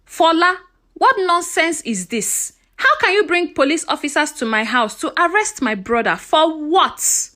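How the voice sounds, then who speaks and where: Lady doing a protective storybook mom, high voice, really emotional and emphatic, pitch all over. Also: expressive, measured pace, sharp tone, heavily acted. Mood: indignant. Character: heartbroken, indignant mother, protective of her young daughter. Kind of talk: folktale storytelling character dialogue.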